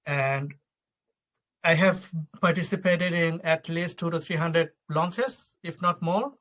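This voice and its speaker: Young Bangladeshi-born founder, fairly high voice, rambling and casual, forever tacking on "right?". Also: male voice, slow pace, a bit bright. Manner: loose, repeats "right?" and restarts often. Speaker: token project founder. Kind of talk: live audio AMA talk. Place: Luxembourg.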